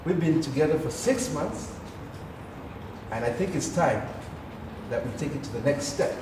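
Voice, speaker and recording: A man's voice: a little high, expressive, normal speed, close to an everyday voice. A man acting in a stage play as a wealthy older father giddy about his young girlfriend. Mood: firm, announcing. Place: theatre stage. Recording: echoey theatre, distant mic, noisy.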